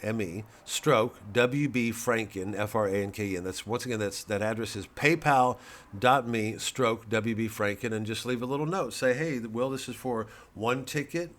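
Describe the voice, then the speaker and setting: A man's voice: on the low side, normal speed, a bit bright. Understated American man, casual and wry. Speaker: comedian promoting a live show. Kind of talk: casual, rambling direct address to viewers.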